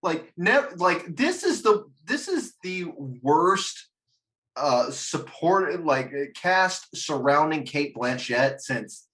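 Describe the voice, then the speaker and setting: A man's voice: on the high side, very animated, takes it slowly, a bit bright. A man hosting a casual podcast chat, dryly dismissive in manner. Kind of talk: casual chat about films and games.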